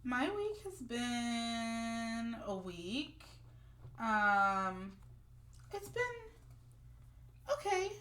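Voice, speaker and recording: A woman co-hosting a book podcast who is congested with a head cold, talking in a drawn-out way with frequent ums, and reading a book blurb. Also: fairly high pitch, very animated, a bit bright. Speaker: podcast co-host.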